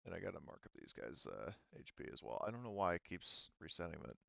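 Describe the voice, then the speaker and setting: A man acting as game master, casually explaining rules and thinking aloud in a halting way. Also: deep voice, very animated, vocal fry, a bit bright, dry recording, highs cut off. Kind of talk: casual tabletop game narration and rules talk.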